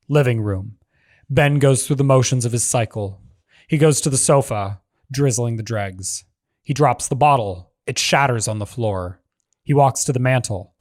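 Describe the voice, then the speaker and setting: A man reads out screenplay action lines in a measured, even delivery at a middle pitch. The recording is dry and close, with almost no room sound. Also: dry recording. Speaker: narrator reading stage directions. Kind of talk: scripted narration of a screenplay.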